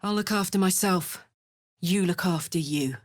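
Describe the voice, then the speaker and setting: A woman voicing a brash, warm-hearted, battle-hardened fantasy warrior in a video game, with a blunt, earthy, emotive delivery. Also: fairly low pitch, normal speed, dry recording, clean studio sound, close to an everyday voice. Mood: friendly. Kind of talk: video game character voice acting.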